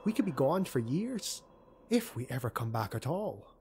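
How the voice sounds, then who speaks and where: A man narrating an audiobook, voicing a shrewd, cautious elf woman warrior who talks in a measured, thoughtful, persuasive way. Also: medium pitch, very animated, warm tone, slight background noise.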